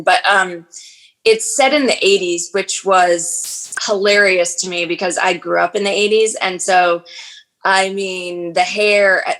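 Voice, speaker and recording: A chatty woman in her forties who narrates audiobooks and acts, talking in an easy, casual interview. She often restarts her sentences and fills pauses as she thinks aloud. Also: medium pitch, low-quality recording. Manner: chatty, warm, frequent fillers and restarts.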